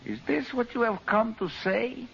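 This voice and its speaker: An actor playing a megalomaniac villain bent on ruling the world, grand and sneering, in old-time radio drama style. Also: male voice, very high voice, moderate pace, gravelly, a bit bright, exaggerated voice. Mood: furious. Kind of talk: 1940s radio acting. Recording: dull old radio broadcast with hiss.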